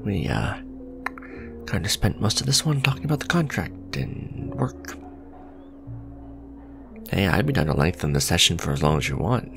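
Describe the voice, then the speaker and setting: Man playing a gravelly, very low-voiced smug villain. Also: big pitch swings, moderate pace, pauses often, music in the background, exaggerated voice. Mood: casual, warm. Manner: sardonic, conversational, sighing and teasing. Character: smug male villain, suave luxury fashion businessman on a date. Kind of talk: romantic ASMR roleplay audio drama.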